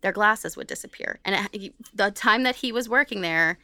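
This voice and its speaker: A woman's voice: mid-range voice, normal speed, clean tone, no echo, studio quality. A woman co-hosting a podcast, telling a story casually and acting out what people said as she goes. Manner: animated retelling, acts out quoted remarks. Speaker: podcast co-host.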